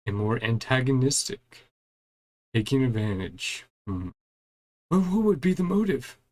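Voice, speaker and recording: A man's voice: mid-range voice, expressive, a little slow, darkish tone. A man giving casual live commentary as he streams a game, muttering to himself and trailing off.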